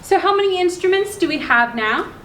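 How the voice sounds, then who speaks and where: A woman hosting a children's story time, reading aloud in simple, instructive language and pausing to put questions to her listeners. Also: very high voice, animated, a bit bright. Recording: roomy echo, clear background noise.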